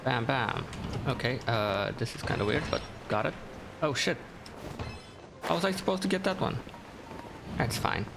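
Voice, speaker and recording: A man's voice: mid-range voice, very animated, normal speed, vocal fry, pauses often, noisy background. A man giving casual, laid-back gameplay commentary, muttering to himself as he plays. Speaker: gamer. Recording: game music behind voice. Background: game music.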